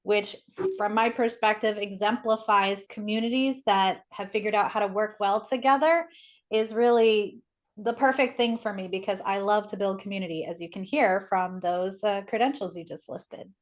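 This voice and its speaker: A woman's voice: medium pitch, steady tone, moderate pace. A woman speaking as an expert interview guest, explaining things conversationally in an articulate, enthusiastic way.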